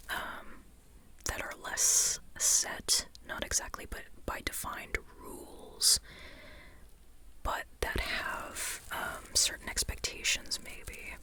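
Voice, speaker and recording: Slow, breathy ASMR whisperer. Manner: rambling, tentative, repeats phrases softly. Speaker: ASMR creator reviewing a book. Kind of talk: whispered ASMR, informal book ramble.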